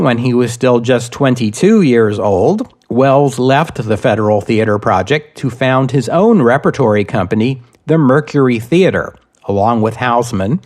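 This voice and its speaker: Man narrating history in measured phrases. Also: medium pitch, animated, darkish tone. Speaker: podcast host narrating history. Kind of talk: scripted narration.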